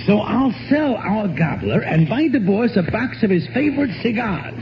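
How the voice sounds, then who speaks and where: Muffled old radio sound with a loud audience behind a sly, folksy neighbor. He wheedles in a high, lively male voice, eager to ingratiate himself. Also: moderate pace, a crowd in the background. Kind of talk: 1940s radio sitcom acting.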